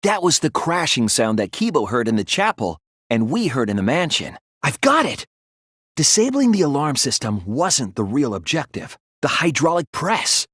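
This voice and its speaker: A man voicing an earnest young male student detective in a video game, explaining his deductions in a deliberate, thoughtful way. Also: mid-range voice, very animated, a bit bright, husky, no room sound, studio quality. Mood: urgent, insistent. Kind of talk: scripted video game voice acting, deduction speeches.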